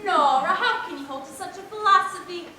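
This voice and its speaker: A woman's voice: very high voice, fairly quick, bright, forceful tone, slight background noise. A teenage girl acting a sunny, grandiloquent period lady, declaiming floridly on a stage. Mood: rapturous. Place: large theater stage, indoors. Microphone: far camera. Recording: echoey auditorium from far off.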